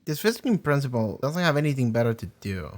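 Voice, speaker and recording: A man's voice: medium pitch, very animated, fast. A young man giving casual, blunt, sweary running commentary as a reaction video host.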